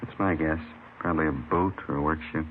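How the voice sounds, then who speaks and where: Deep-voiced, terse morgue doctor. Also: male voice, steady tone, fairly quick. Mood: matter-of-fact. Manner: terse, factual. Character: plain-spoken county morgue doctor reporting findings. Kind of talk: 1950s radio crime drama acting. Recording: muffled, noisy vintage radio recording.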